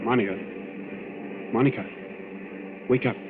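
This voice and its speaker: A man's voice: mid-range voice, unhurried, close to an everyday voice. An actor playing an earnest, alert young man in a 1940s radio thriller. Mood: hushed urgency. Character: earnest, alert young male airline passenger and navigator. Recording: muffled, noisy old radio recording.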